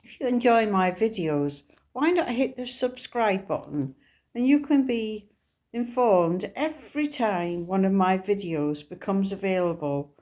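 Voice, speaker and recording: Slow-spoken Scottish woman sharing testimony. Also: mid-range voice, lively intonation, darkish tone. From Scotland. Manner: earnest, unhurried storytelling. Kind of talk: personal faith testimony.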